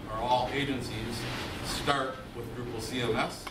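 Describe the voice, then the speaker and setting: A man's voice: medium pitch, fairly even intonation, measured pace. Audience man asking from the floor, noisy. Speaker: audience member asking a question. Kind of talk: question from the floor. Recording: distant, roomy, loud background noise.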